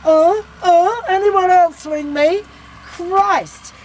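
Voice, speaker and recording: A woman's voice: extremely high pitch, drawn-out words, a little mellow, a little hiss or hum, exaggerated voice, poor audio. A woman playing a brash, irritable narrator in a comedic book reading, sardonic, bossy and blunt. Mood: irritated. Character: brash, irritable sweary woman musing on past lives.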